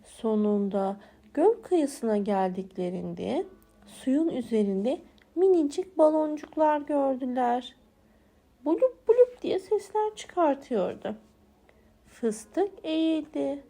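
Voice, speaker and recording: Female children's storyteller, very high and unhurried, for bedtime tales. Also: animated, mellow. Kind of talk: scripted bedtime story narration for small children.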